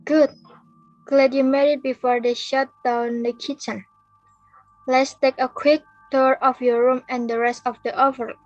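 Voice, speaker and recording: A woman playing a courteous, formal hotel manager in a readers theater piece, with measured delivery. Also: sits high, flat delivery, darkish tone. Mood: welcoming. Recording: muffled audio with background music.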